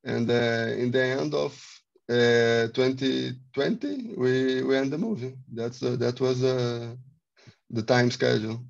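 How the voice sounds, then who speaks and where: A man from Brazil, a cameraman, answering on a film panel in halting, restart-filled speech over a video call. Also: mid-range voice, vocal fry, lo-fi sound. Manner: halting, many restarts, non-native phrasing. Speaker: filmmaker, cameraman.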